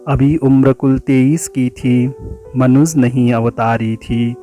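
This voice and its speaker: A man reciting a poem in a measured, declamatory way, drawing out the ends of lines. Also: mid-range voice, flat delivery, mellow, faint music behind. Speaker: poetry reciter. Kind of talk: dramatic Hindi poem recitation.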